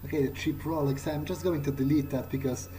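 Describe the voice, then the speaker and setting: A man role-playing through a video game, thinking aloud in character in a low-key, hesitant way. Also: steady tone, a little fast, warm tone. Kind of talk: in-character musing during gameplay. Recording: music behind the voice.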